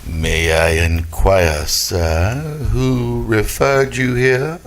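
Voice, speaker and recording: An actor playing a small, creepy manservant in an old radio detective drama, speaking with hesitant, stilted, obsequious courtesy. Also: male voice, lowish voice, flat delivery, extremely slow. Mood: stiffly polite. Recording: vintage radio recording with loud background noise.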